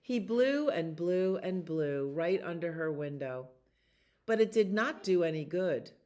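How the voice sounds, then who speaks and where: A woman reading a children's storybook aloud as a librarian at storytime, in an expressive, deliberate way that draws out repeated words. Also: on the low side.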